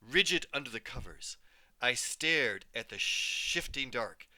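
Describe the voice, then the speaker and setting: A man reading a children's story aloud as a teacher, with expressive, dramatic storytelling. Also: mid-range voice, unhurried. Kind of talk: storybook read-aloud narration.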